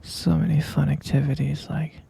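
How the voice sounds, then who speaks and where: A man voicing an obsessive, possessive young boyfriend who keeps his partner captive, talking in a soft, coaxing whisper close to the mic. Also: a little high, little pitch movement, moderate pace, creaky, slightly rough, exaggerated voice. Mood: sweetly obsessive. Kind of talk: whispered ASMR roleplay.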